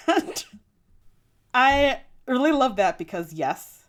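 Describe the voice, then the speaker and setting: A woman's voice: sits high, very animated, slow pace. A woman co-hosting a podcast in a casual book discussion, speaking informally and saying "like" a lot. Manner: informal, heavy use of "like". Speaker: podcast co-host.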